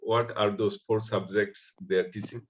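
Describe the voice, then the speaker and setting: A man's voice: on the low side, steady tone, normal speed. Polite man on a dull video call. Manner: polite, repeats words as he forms questions. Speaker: moderator. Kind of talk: informal online discussion. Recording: dull lo-fi online call audio.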